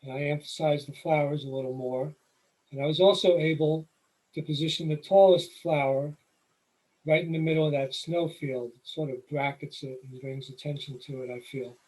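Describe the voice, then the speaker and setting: A middle-aged man with a New York accent, explaining nature photography while walking through his slides in a relaxed, explanatory way. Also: on the high side, normal speed, poor audio. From New York City. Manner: relaxed, explanatory, with occasional fillers. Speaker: photography presenter. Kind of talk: slide presentation lecture.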